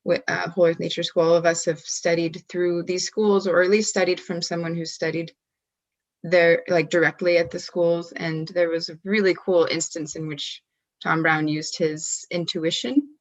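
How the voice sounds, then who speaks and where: A woman guest who works as a wellness coach, telling a story casually in conversation and often pausing on "um" and restarting her sentences. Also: on the low side, flat delivery. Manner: hesitant, many ums and restarts.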